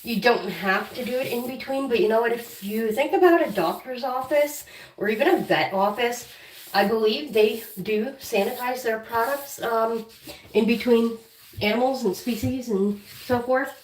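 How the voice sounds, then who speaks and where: A woman with a head cold who keeps clearing her throat, chatting casually on a home livestream while caring for her guinea pigs and talking fondly to them. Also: a little high, normal speed, reverberant, distant mic, slight background noise, rough recording. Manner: chatty, talks to her pets affectionately. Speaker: guinea pig channel host. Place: room at home, weighing pets on a counter.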